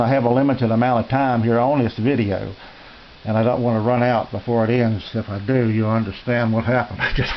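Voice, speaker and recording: An American man giving a casual, unscripted tour of his radio room in a halting, rambling, folksy and polite way. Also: medium pitch. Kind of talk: casual unscripted show-and-tell narration. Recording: dull lo-fi home video, faint noise.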